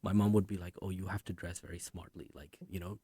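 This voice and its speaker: A man in his thirties from India, a podcast guest telling his story in a relaxed way, often tagging lines with "right?". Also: low-pitched, quick pace, slightly rough, no room sound. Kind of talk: conversational interview.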